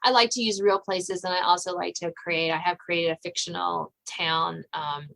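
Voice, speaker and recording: A woman's voice: lowish voice, fairly even intonation, normal speed, creaky, a bit bright, poor audio. An American woman hosting a casual interview, talking in a chatty, rambling way peppered with filler phrases. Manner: chatty and rambling, frequent "you know". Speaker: podcast host and suspense novelist.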